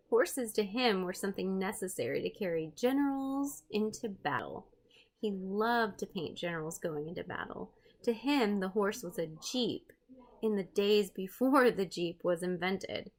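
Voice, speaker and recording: A woman hosting an art read-aloud, expressive and playful, with chatty, self-deprecating asides to her viewers. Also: medium pitch. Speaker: host, art teacher reading a picture book aloud.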